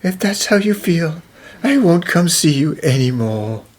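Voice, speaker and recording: A woman's voice: lowish voice, sing-song, unhurried, darkish tone. A woman voicing an earnest, stubborn, lovelorn young man who pleads, in a cartoon fan dub. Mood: pleading. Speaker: voice actor in amateur cartoon dub.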